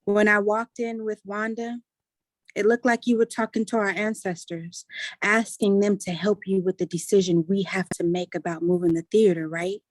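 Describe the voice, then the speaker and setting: An earnest adult daughter in a stage drama, read by an actress. She speaks persuasively to her family at a middle pitch. The sound is dry, with little room. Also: little pitch movement, moderate pace, no echo, lightly acted, low-quality recording. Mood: earnest, explaining. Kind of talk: staged play reading.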